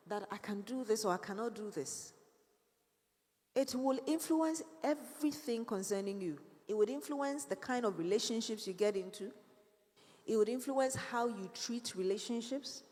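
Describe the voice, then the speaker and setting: Woman speaking in a reverberant hall. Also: mid-range voice. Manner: warm storytelling with laughs and faith references. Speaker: guest speaker. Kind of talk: motivational talk to young people.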